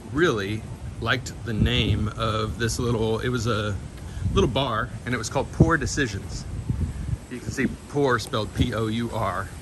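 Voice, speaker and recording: A middle-aged man from Kentucky with a slight Southern twang and drawl, chatting with viewers as he walks through a city. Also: mid-range voice. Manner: friendly, reads out viewer comments. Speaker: walking vlog host. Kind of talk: live-stream chat while walking. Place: outdoors on a city street. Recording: street noise behind the voice. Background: traffic noise.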